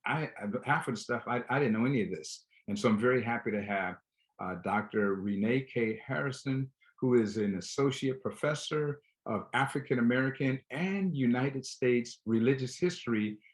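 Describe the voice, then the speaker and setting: A man's voice: medium pitch, normal speed. A man hosting a talk show, speaking conversationally and directly to his listeners and guest. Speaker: show host. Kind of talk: conversational show introduction and interview.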